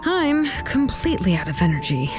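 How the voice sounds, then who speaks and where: A woman's voice: on the low side, big pitch swings, a little fast, music in the background. A woman playing a cool, composed and decisive young woman in a video game, speaking in a matter-of-fact way. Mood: calm, businesslike.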